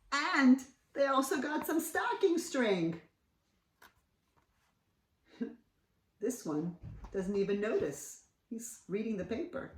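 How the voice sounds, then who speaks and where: Woman, children's story reader, hugely varied pitch, expressive asides, distant from the mic. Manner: expressive, sing-song, questioning. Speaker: children's read-aloud host. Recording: roomy, set back from mic.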